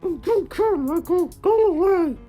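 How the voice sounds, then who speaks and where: A man voicing a sullen, wistful teenage robot girl in an animated-style story. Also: very high voice, slow pace, far from the mic, soft background music, pitched above the performer's own voice, heavily acted. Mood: sullen, grumpy.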